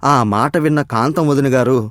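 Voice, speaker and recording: A man's voice: medium pitch, steady tone, a little fast, a bit bright, no room sound, clean studio sound. A man narrating a scripted moral story as its storyteller.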